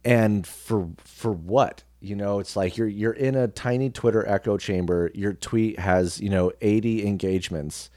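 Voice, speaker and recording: A man speaking as an interview guest in a casual, reflective conversation, earnest and halting, often repeating words as he goes. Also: fairly low pitch, darkish tone, no echo, clean studio sound. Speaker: radio personality and actor, interview guest.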